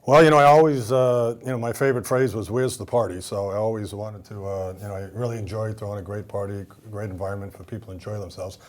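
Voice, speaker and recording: A man's voice: on the low side, steady tone, fast, few pauses. A man with a Boston accent, a guest on a TV talk show, talking casually with lots of fillers and restarts. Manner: rambling, many fillers and restarts. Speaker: event center owner, guest.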